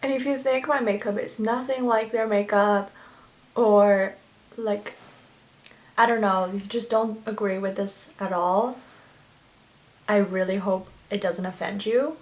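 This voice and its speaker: A woman's voice: mid-range voice, steady tone, a little slow, pauses often, rough recording. Chatty young woman doing her makeup. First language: Chinese. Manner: chatty and gushing, with many fillers and restarts. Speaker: beauty vlogger. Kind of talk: get-ready-with-me makeup tutorial chat.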